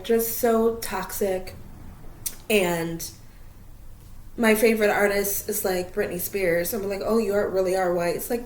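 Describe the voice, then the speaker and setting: A woman from Minnesota giving a blunt, opinionated vlog rant, swearing casually. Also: mid-range voice. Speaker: vlogger. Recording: roomy echo.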